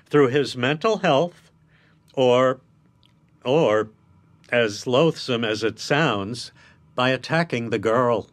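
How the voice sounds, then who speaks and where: An actor playing a measured, cautious defence lawyer in a radio drama, reasoning deliberately and with some hesitation. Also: male voice, mid-range voice, expressive. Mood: cautious, grave. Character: measured, cautious male defence lawyer advising a distraught family. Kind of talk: naturalistic radio drama acting.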